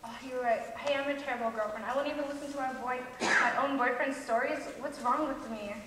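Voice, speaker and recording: A woman's voice: sits high, a little fast, a bit bright. A teen girl acting an upset girlfriend, echoey stage. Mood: distressed. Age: teens. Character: upset, insecure young girlfriend hunting for her boyfriend. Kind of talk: high school stage play acting. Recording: echoey auditorium stage from far off, noisy.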